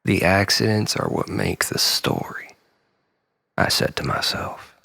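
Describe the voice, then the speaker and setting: A man delivering a dramatic, confessional spoken-word monologue in a brooding, theatrical manner, often dropping toward breathy near-whispers, with a creaky voice. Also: deep voice, big pitch swings, a little slow, sharp tone. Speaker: storyteller, monologist.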